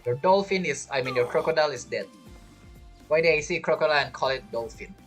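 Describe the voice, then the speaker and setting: Male game streamer, rambling casual commentary, lively pitch swings, faint music behind. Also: fast talker. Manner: rambling, musing. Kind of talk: casual gameplay commentary, thinking aloud.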